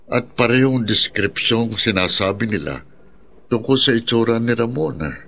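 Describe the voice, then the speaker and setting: A man playing a gentle old grandfather, soothing and solemn, speaking with measured care in a radio drama. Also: mid-range voice, expressive. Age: older. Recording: faint background noise and ambience.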